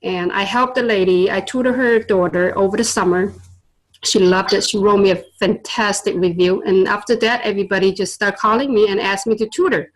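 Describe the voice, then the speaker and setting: A woman's voice: mid-range voice, steady tone, brisk, poor audio. Earnest woman. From born in Vietnam, raised in China. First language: Chinese and Vietnamese. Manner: earnest, with many fillers and a non-native grammar. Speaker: guest, tutor and business owner. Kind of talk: casual interview storytelling.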